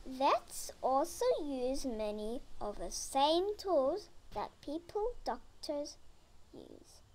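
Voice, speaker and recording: A girl reading a children's picture book aloud, slowly and carefully, sometimes stumbling over words. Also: sits high, animated. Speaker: reader.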